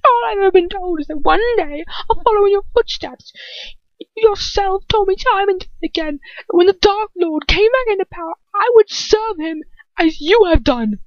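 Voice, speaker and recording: A woman's voice: very high-pitched, animated, moderate pace, dry recording, lo-fi sound, voice pushed up. A woman reading an audiobook as an anguished, bitter young wizard son pleading with his father in an emotional, wavering voice. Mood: bitter outburst.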